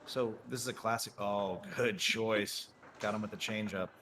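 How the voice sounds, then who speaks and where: A man in his thirties calls table soccer in a relaxed, chatty play-by-play. His voice sits low. Steady crowd noise from the tournament hall can be heard behind him. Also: moderate pace. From Connecticut, US. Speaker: commentator. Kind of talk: live table soccer commentary. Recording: live venue noise behind.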